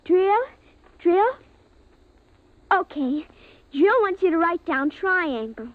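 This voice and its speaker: A woman's voice: very high-pitched, expressive, slow pace, heavily acted. An actress playing an eager, bossy eight-year-old girl in a 1950s radio drama. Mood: earnest. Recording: tinny old radio recording.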